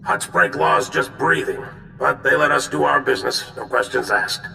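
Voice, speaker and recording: An actor playing a grave, gruff military commander on a holocall in a video game, giving clipped, authoritative orders in a gravelly voice. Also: male voice, moderate pace, sharp tone, full character voice. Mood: matter-of-fact. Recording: echoey hall reverb, rumbling noise.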